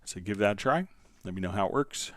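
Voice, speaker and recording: Low-voiced man hosting a software tutorial. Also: lively intonation, brisk, no room sound, studio quality. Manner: conversational, step-by-step explaining. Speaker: livestream host, software instructor. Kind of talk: casual live tutorial, answering viewer questions.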